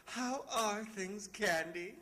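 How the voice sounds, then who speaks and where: A man's voice: sits high, slow pace, sharp tone, full character voice. An actor playing a fussy, genteel, affectionate male friend in old-time radio drama, with a theatrical, effete, solicitous manner.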